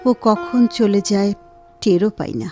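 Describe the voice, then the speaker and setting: A woman's voice: medium pitch, unhurried, close to an everyday voice. A woman acting a lonely, ailing wife in an audio drama. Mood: forlorn, resigned. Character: lonely, ailing, neglected wife, quietly despairing. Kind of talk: Bengali radio drama acting with monologue. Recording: guitar music behind the voice.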